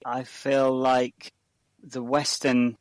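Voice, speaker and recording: A man's voice: mid-range voice, level tone, very slow, dry recording, poor audio. A man co-hosting a podcast, reflecting earnestly and unhurriedly and then reading the show's outro. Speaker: podcast co-host. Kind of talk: casual reflection and outro announcement.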